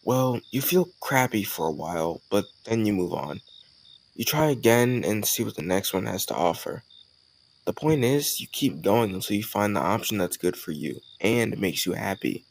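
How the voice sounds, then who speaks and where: Male actor as cocky college charmer, young, low creaky voice, casual teasing delivery, romantic audio roleplay. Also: expressive, normal speed. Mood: playful, persuasive. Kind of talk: scripted romantic audio roleplay addressed to the listener.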